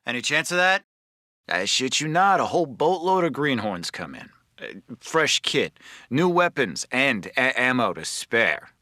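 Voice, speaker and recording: A man's voice: mid-range voice, very animated, unhurried, pushed and bright, no room sound, studio quality. A man playing an irritable GI in a war game, snappish and complaining. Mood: wry. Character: griping, irritable American GI, male. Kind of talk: video game unit voice lines.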